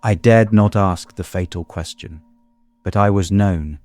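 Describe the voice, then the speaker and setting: An actor playing a guilt-stricken gentleman who narrates his torment in a grave, formal, literary manner for an audiobook. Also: low-pitched, unhurried, no room sound, soft background music. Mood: anguished.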